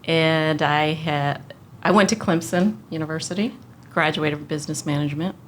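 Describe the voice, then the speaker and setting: A woman's voice: sits low, normal speed, a little hiss or hum. A woman in her forties, a podcast guest, telling her life story in an earnest, reflective way with frequent fillers. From United States. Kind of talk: casual conversational interview.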